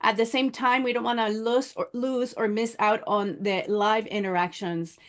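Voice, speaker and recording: A woman co-hosting a livestream, addressing viewers conversationally in measured phrases with some restarts. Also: on the high side, fairly even intonation, fairly bright tone. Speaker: co-host.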